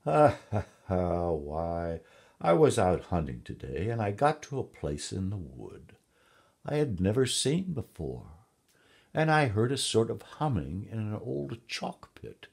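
Man as amused king, hearty, jovial, husky, lively swooping pitch, fairy-tale storytelling. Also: a little slow, exaggerated voice. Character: jovial, chuckling storybook king telling of his hunt.

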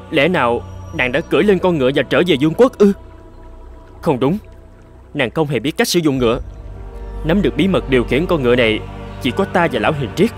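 A man's voice: on the high side, natural voice. A man voicing a young prince who searches urgently and earnestly in a cartoon fairy tale. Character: young prince, anxious and determined.

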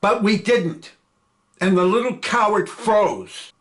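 A man's voice: high voice, slow, voice pushed up. A man reading a play aloud, voicing a brash, boastful young male airman who bullies and taunts. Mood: taunting. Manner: loud, boastful, laughing.

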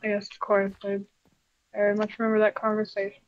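A woman's voice: medium pitch, completely flat delivery, a little fast, natural voice. A slightly muffled, lo-fi recording of a reserved female puppet animatronic acted by a woman in a game roleplay. She is good-hearted but answers in dry, terse, unimpressed lines. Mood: flat, patient.

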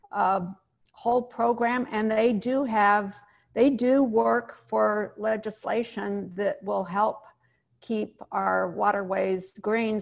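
Slow, creaky-voiced middle-aged woman moderating. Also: medium pitch. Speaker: panel moderator. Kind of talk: casual panel discussion. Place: living room at home, on a video call. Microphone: lapel mic.

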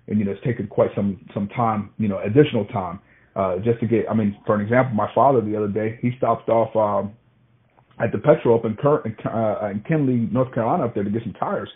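A man talking casually and at length on a phone call, agreeable and often repeating himself. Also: on the low side. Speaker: freight broker, former truck driver. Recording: tinny band-limited phone call.

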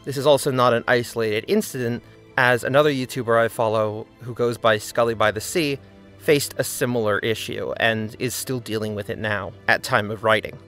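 A man's voice: mid-range voice, fairly even intonation, normal speed, a bit bright, faint music behind. A man narrating a scripted video essay, with earnest, critical commentary.

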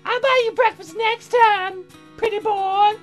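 A woman voicing a brash, excitable young female songwriter in a visual novel, with theatrical exclamations. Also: very high-pitched, a little slow, faint music behind, pitched above the performer's own voice, poor audio. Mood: perky, cheeky.

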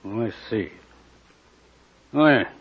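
An actor playing an irritable, officious police clerk, curt in manner, in old-time radio drama style. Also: male voice, lowish voice, lively intonation, drawn-out words, husky, heavily acted. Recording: dull, noisy old radio recording.

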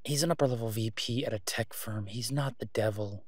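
A man's voice: on the low side, fairly quick, no room sound, natural voice. A man playing a sardonic, exasperated but protective vigilante antihero in an audio roleplay, with a weary, clipped manner. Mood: resigned, firm. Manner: sarcastic, weary, clipped, emphatic when irritated. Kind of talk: solo audio roleplay acting, conversational.

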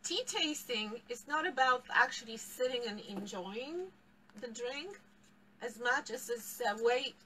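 A woman's voice: a little high, lively intonation, measured pace, bright, forceful tone, recorded from a distance, slight background noise, rough recording. A middle-aged woman with a Polish accent teaching a live tea tasting class in a tea shop, picked up by a camera across the table, explaining and laughing often. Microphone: far camera across table.

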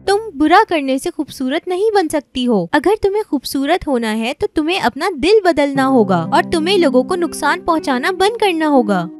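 A woman's voice: high-pitched, lively intonation, few pauses, soft background music. A woman voicing a kind, earnest young fairy-tale princess in a children's cartoon. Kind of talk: dubbed children's cartoon acting.

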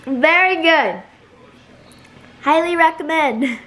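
A woman's voice: high-pitched, slow, pushed and bright. A young teenage girl with an American accent, chatting informally in a casual home cooking vlog. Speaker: vlogger. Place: home kitchen, indoors. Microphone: camera at arm's length.

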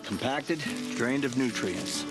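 A man's voice: mid-range voice, expressive, slow pace, loud music behind the voice. A man reading a garden product commercial in a friendly, upbeat way. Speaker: ad narrator. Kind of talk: commercial voice-over.

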